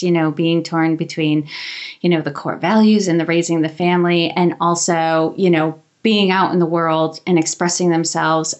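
A woman hosting an interview podcast, introducing and questioning in a conversational presenting style. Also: on the low side. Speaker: podcast host.

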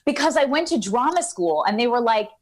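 A woman's voice: on the high side, very animated, a little fast. A woman in her thirties from Queens, talking casually and self-deprecatingly as an actress guest over a video call. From Queens, New York. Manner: chatty, self-deprecating, laughs often.

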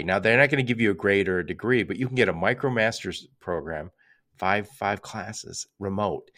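Low-voiced older American man hosting. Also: bright, forceful tone. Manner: chatty, digressive. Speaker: podcast host. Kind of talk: casual conversational interview.

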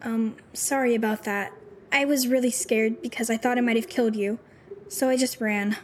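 Woman acting teenage schoolgirl, raised pitch, timid, hesitant, earnest, defensive, radio-play style. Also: steady tone, moderate pace, slight background noise, natural voice. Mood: nervous, apologetic. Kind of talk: audio drama acting.

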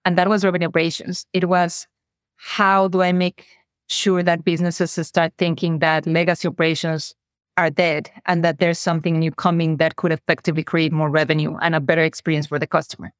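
A woman with a Mexican accent, her first language Spanish, speaking in a somewhat low voice. She recounts her path earnestly and thoughtfully, in a dry, close recording with no room sound. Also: flat delivery, brisk, dry recording. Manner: earnest, reflective storytelling. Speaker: guest, revenue operations director. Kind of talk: interview conversation.

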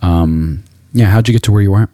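Man, deep voice, dry close sound, interview host, reflective, encouraging, conversational. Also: a little fast, dark tone, dry recording.

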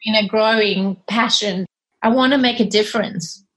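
A middle-aged woman with a South African accent talks through her ideas in an earnest, self-deprecating way. She sometimes pauses to restart a thought. Her pitch sits in the middle for a woman. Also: low-quality recording. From Zimbabwe and South Africa. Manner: earnest, hesitant, self-deprecating, laughs softly. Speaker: cleaning company cofounder, guest. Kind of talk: casual interview chat.

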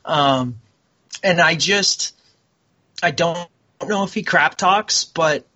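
Chatty man with a bright, dull-sounding voice. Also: on the high side, slow, breathy edge. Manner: chatty, rambling, enthusiastic. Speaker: guest, military veteran. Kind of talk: casual podcast chat.

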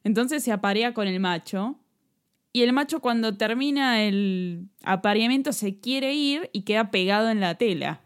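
A woman's voice: on the high side, expressive, moderate pace, smooth. A woman with an Argentine accent co-hosting a true-crime podcast, telling a case in casual chat, laughing often and restarting her sentences. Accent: Argentine Spanish.

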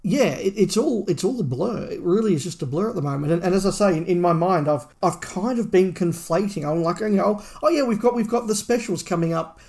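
A man's voice: high-pitched, fairly quick, vocal fry, few pauses, darkish tone. A man co-hosting a podcast in a casual fan discussion, rambling and thinking aloud. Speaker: podcast co-host.

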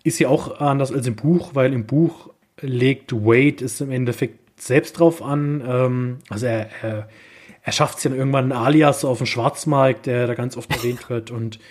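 A man co-hosting a casual film discussion podcast, speaking haltingly as he searches for his words. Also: medium pitch, steady tone, a little mellow. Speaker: podcast co-host.

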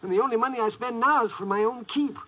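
An actor playing a frightened, stubborn old father with an American accent and a folksy, halting, pleading way of talking in a 1950s radio drama. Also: very high voice. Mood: aggrieved. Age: older. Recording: muffled vintage 1950s radio recording.

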